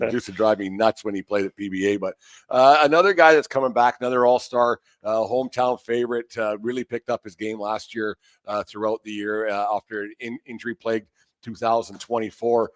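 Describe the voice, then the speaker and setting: Low-voiced Canadian sports host, dry sound. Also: male voice, dry recording. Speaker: sports show host interviewing a coach. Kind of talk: casual sports interview chat.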